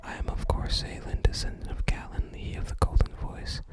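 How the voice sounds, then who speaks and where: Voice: moderate pace, lightly acted. An ASMR gamer voicing a wistful wandering male bard from a fantasy game, whispering his florid, archaic lines. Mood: lofty, musing. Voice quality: unvoiced whisper. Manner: archaic, theatrical phrasing.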